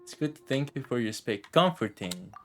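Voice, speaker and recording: A man's voice: mid-range voice, sing-song, moderate pace, a bit bright. A man giving casual live game commentary as a streamer, musing to himself and his chat. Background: faint game music.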